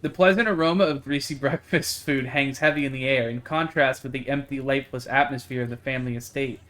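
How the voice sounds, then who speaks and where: A man's voice: mid-range voice, brisk, a bit bright. A man streaming a game live, reading it aloud and reacting with exclamations and some swearing. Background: game music.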